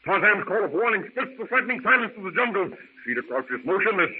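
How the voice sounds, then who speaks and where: An actor serving as dramatic narrator of an old radio adventure serial, grave and suspenseful in theatrical style. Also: male voice, high voice, big pitch swings, fast. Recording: tinny, hissy vintage radio recording.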